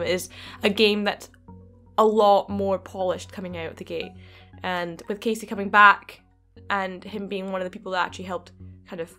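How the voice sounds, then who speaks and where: A woman's voice: medium pitch, a bit bright. Young woman losing her voice. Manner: thoughtful, hesitant, laughs often. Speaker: gaming channel co-host. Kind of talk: casual gaming discussion. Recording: faint background music.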